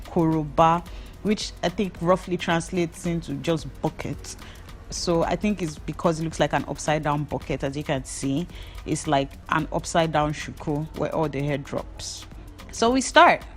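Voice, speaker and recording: A woman's voice: deep voice, moderate pace, faint music behind. A young Nigerian woman with a Nigerian accent and Yoruba as her first language, giving a hair-braiding tutorial voice-over in a playful, gossipy, rambling way.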